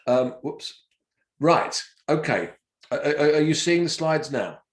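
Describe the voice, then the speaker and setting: A man's voice: on the high side, animated, slow pace. An older man giving an informal academic talk in a hesitant way, often stammering and repeating words. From not from Wales. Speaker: professor giving a lecture. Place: indoor room on a video call. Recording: roomy, echoing webinar audio.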